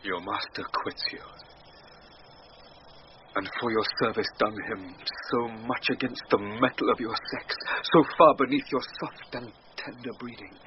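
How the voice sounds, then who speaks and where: Through clear background noise comes a man acting a noble, romantic duke in a classical radio play. He has a middling pitch and a stately manner, on a muffled old broadcast. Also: lightly acted.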